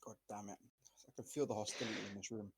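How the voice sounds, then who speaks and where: Husky man bantering on a podcast. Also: on the low side, steady tone, quick pace. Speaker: podcast co-host. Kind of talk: casual banter.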